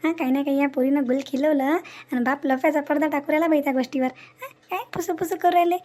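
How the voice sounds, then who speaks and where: A suspicious village busybody in a cartoon comedy a woman acting. She speaks in a very high voice with a rural Maharashtrian accent. Her manner is prying, sharp-tongued and always spoiling for an argument. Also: steady tone, quick pace, slight background noise, close to an everyday voice. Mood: scheming. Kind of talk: Marathi comedy cartoon dialogue.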